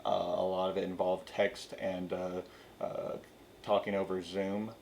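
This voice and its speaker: A man's voice: low voice, little pitch movement, measured pace, vocal fry, darkish tone, a little hiss or hum. A young male student giving a casual, hesitant interview answer.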